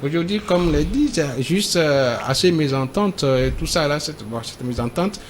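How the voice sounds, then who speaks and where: A man speaking as a debate panelist, halting and repeating himself but emphatic. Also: fairly high pitch, animated, a little hiss or hum. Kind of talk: debate commentary.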